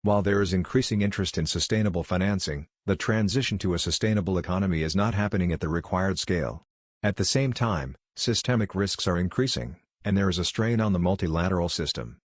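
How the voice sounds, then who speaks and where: A man reading a passage aloud as a sample exam answer, in a steady, even delivery. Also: sits low, quick pace, dry recording, studio quality.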